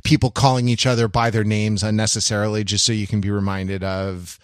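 A man's voice: on the low side, flat delivery, a little fast, clean tone, no room sound, studio quality. A man co-hosting a podcast in casual chat, rambling with frequent false starts and comic exaggeration. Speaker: podcast co-host. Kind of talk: casual panel chat about television.

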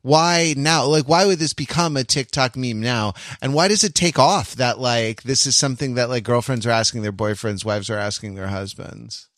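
A man co-hosting a podcast in casual discussion, halting and often interrupting himself as he works through a thought. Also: mid-range voice, a bit bright, no echo, clean studio sound. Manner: rambling, halting, self-interrupting. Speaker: podcast co-host.

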